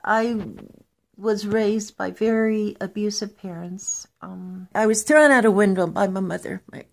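A woman's voice: mid-range voice, a little slow, vocal fry. A woman in her sixties from Texas, an actress and screenwriter, recounting her life matter-of-factly to a close webcam at home. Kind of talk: candid documentary testimony.

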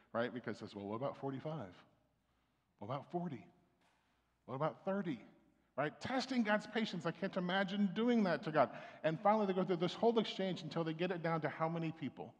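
A man's voice: on the high side, animated, fairly quick. Middle-aged male pastor in a reverberant church. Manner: conversational preaching with frequent restarts and fillers. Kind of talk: sermon. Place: church sanctuary. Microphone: stand mic at pulpit, far camera.

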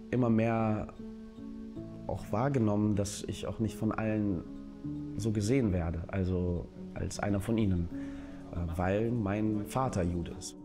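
Low-voiced man, halting and reflective. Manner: halting, searching for words. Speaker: interviewee, actor. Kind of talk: reflective personal testimony. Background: music.

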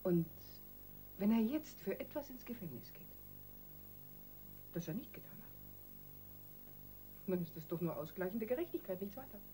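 A woman's voice: medium pitch, very animated, moderate pace, long pauses, dark tone, slight background noise, close to an everyday voice, poor audio. An actress in a German radio crime drama playing a calculating, insistent wife who works on her husband with emphatic persuasion.

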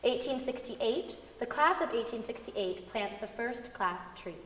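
A woman reads scripted narration with very lively, swinging pitch. The hall is echoey and there is faint background noise. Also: measured pace. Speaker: narrator in a staged reading. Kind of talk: scripted narration announcing years and events. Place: large concert hall stage before an audience.

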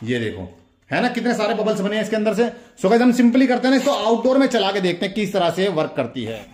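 A young Indian man with a notably high voice hosts a casual product demonstration. He speaks enthusiastically, often repeating himself as he addresses his viewers directly. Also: sing-song, fast talker, darkish tone, a little hiss or hum. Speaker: toy review video host.

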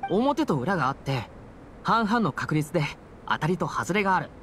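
Earnest, animated teenage boy adventurer. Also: fairly high pitch, moderate pace, a little hiss or hum. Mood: upbeat, explaining. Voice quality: youthful, boyish. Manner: earnest, upbeat, casual boyish speech. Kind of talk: Japanese anime game voice acting.

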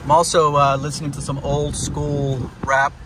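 A man in his thirties from Houston, casually vlogging about his car while he drives in the rain, relaxed and rambling. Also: a little high, normal speed. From Houston, Texas. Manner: relaxed, rambling, repeats himself. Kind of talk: casual vlog while driving. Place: inside a car driving in rain. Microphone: phone camera mounted in car. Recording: road and rain noise in car.

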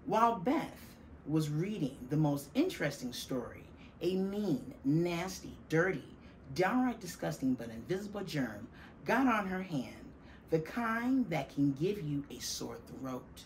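A middle-aged woman reading a children's picture book aloud, deliberately and with dramatic stress on key words. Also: deep voice, big pitch swings, rough recording. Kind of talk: expressive children's storybook reading. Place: small classroom, indoors. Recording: faint room noise behind the voice.

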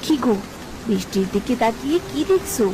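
A woman's voice: a little high, expressive, fairly quick, noisy background. A woman voicing a bossy but concerned village wife and mother in a cartoon, with an exclamatory way of talking. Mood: scolding. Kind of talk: Bengali cartoon voice acting.

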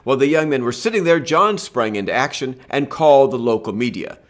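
An older man presenting a history lesson in scripted narration from a room at home, in a measured, expressive storytelling manner. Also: mid-range voice. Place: home room with bookshelf and lamp.

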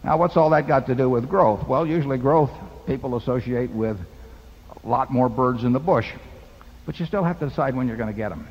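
A man's voice: mid-range voice, a little fast, darkish tone, husky. Older man explaining in a reverberant hall. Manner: folksy, patient, explains with a homely parable and arithmetic. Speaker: company chairman answering shareholder questions. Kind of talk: unscripted explanation at a podium. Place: large meeting hall stage, seated at a table. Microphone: table microphone. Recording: dull lo-fi hall recording with long reverb.

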